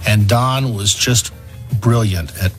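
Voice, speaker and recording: A man speaks as an expert historian in an interview. His pitch is on the low side, and faint music plays behind. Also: takes it slowly. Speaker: animation historian. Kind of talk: interview commentary.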